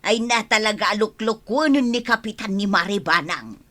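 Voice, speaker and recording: A woman with an Ilocano accent playing an excitable, giggly village gossip with drawn-out exclamations in a comedy radio drama. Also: medium pitch, natural voice. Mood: gossipy, insistent.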